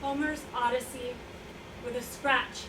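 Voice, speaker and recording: A noisy, far-off recording of a staged play with a woman acting a carer who questions and doubts. Her voice is high, her manner pointed and probing. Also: steady tone, a little slow. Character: probing, skeptical woman caring for an old man. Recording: distant echoey room, noisy draft recording.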